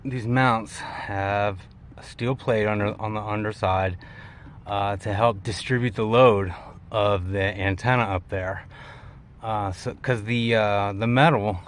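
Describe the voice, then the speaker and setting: A man's voice: on the low side, darkish tone. A man giving a casual, unscripted walkaround of his vehicle in a folksy, colloquial way with hobbyist slang. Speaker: vlogger showing his vehicle build.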